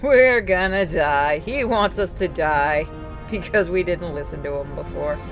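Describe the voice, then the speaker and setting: A man's voice: a little high, measured pace. Male gaming commentator, casual and irreverent, reactive chat over a faint game score. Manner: joking, sweary, reactive. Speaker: co-host of game playthrough. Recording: game music behind.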